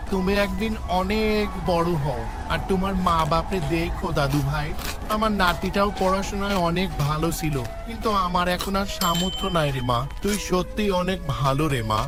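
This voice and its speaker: Man acting a pleading old beggar, high-pitched. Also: natural voice. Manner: pleading, addresses others with affectionate village terms. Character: weary old village beggar grandfather, humble and pleading. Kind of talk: animated story voice acting. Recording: music under the voice.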